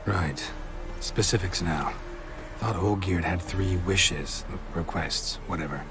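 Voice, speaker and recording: Gruff, deep-voiced deadpan monster hunter. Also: male voice, takes it slowly, music in the background. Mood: wary, dry. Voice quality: gravelly, low. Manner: terse, deadpan, clipped sentences. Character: gruff, laconic male monster hunter, wary and sardonic. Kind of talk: video game voice acting.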